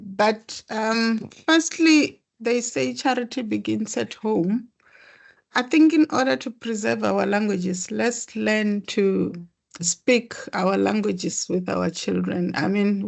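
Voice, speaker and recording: A South African woman on a webinar panel, speaking in measured, earnest short phrases. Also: medium pitch, gravelly, darkish tone. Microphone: video call.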